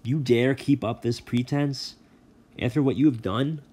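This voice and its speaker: A man's voice: mid-range voice, measured pace, mellow, breathy edge, pitched above the performer's own voice. A man reading aloud, voicing an angry, harried male god of the dead who bellows complaints and threats. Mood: ranting. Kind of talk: audiobook reading.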